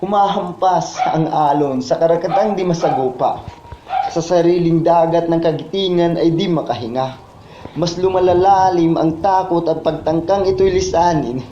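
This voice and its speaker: A man's voice: a little high, normal speed. A man with a Filipino accent reciting spoken word poetry in a solemn, impassioned, declamatory manner. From Philippines. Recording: muffled, echoey, with background noise.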